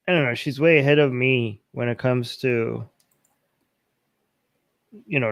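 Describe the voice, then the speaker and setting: Middle-aged guy joking about himself on a live q&a, halting with lots of fillers, dry close mic. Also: mid-range voice, clean tone, no room sound. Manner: playful, self-mocking, halting with many fillers. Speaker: co-host. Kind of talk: casual live Q&A chat.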